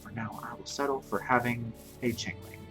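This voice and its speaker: A man's voice: medium pitch, fairly even intonation, normal speed. Rambling, self-mocking young male streamer. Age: 20s. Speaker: Twitch streamer. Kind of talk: casual gameplay commentary. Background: game music.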